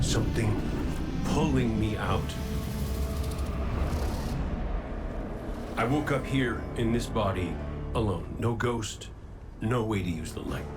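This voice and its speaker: An actor playing a wry, easygoing resurrected robot gunslinger in a video game cutscene, talking in a folksy, colloquial way. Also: male voice, mid-range voice, close to an everyday voice. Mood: wistful, reflective. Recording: music behind the voice.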